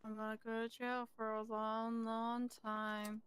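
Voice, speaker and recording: A woman improvising in-character game dialogue as a self-confessed criminal, in a deadpan, nonchalant manner. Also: on the high side, a little slow, clear, resonant tone, no room sound. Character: self-confessed male criminal, cheerfully accepting blame. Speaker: role-play gamer playing a suspect.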